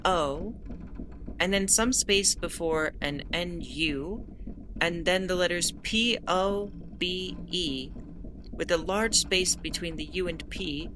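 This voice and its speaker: A woman's voice: on the low side, noisy background, natural voice. A woman voicing a winged, four-armed female alien starship captain who speaks in an earnest, explanatory way. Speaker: ship captain. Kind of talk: audio drama narration.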